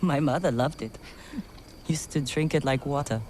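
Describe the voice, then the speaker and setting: An actress playing a tough, guarded, fiercely protective older sister in a video game, speaking bluntly and tersely. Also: female voice, sits low, normal speed, a little mellow, slight background noise, close to an everyday voice. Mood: subdued, reflective. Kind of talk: dramatic game cutscene dialogue. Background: music.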